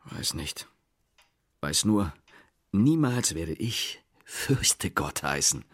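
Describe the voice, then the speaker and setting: Bitter brother with wildly swinging, husky voice. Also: male voice, low-pitched, very animated, very slow, exaggerated voice. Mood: subdued. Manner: declamatory, brooding, with sudden outbursts. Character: bitter, defiant homeless brother who returns home. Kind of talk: German radio play acting.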